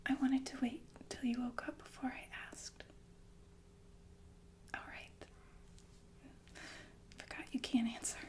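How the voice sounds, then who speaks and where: A woman playing a sinister, falsely soothing killer nurse in an ASMR roleplay, speaking in a genteel, deliberate breathy whisper with murmured asides. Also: high voice, flat delivery, a little mellow. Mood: calmly menacing. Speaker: ASMR performer.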